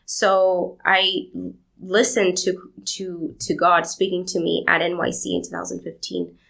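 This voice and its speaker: A woman's voice: lowish voice, little pitch movement, unhurried, gravelly, poor audio. A young woman from Argentina, answering interview questions in a rambling, storytelling way. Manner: warm, rambling storytelling with laughs. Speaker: podcast guest.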